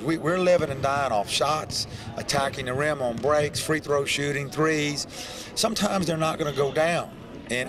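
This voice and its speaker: An older man, a basketball head coach, answering post-game questions courtside in a calm, halting way with many restarts. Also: medium pitch. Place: indoor basketball arena, courtside. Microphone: handheld reporter mic. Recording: crowd noise in a large arena. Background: arena crowd.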